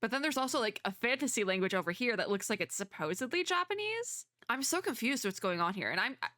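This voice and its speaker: A woman doing casual reaction commentary as a VTuber, chatty, expressive and slangy. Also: fairly high pitch, fast, dry recording, studio quality.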